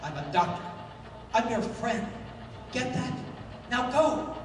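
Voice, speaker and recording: A man acting a calm, resolute, commanding adventurer doctor in the style of a 1930s pulp radio drama. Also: very high voice, takes it slowly, noisy background, heavily acted. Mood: firm, reassuring. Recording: very echoey distant hall with music.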